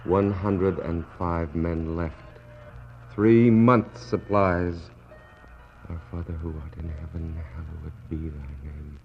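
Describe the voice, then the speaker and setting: A man acting a despairing British naval captain in a 1960s radio play, declaiming in anguished, bitter soliloquy that swings between hushed prayer and outcry. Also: sits low, fairly even intonation, slow pace, soft background music. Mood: solemn, prayerful. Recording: dull, noisy 1960s radio recording.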